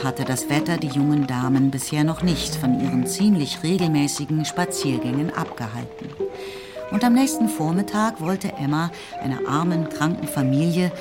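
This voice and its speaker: Low-voiced woman narrating a novel. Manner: measured storytelling delivery. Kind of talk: scripted literary narration. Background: music.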